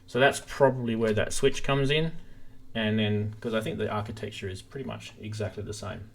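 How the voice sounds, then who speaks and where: A man's voice: lowish voice, fairly even intonation, a little fast, creaky, slight background noise. A man hosting a live stream, giving a casual, rambling explanation and demonstration of gear into a stream microphone. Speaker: host of a synthesizer live stream. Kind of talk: casual live product demo.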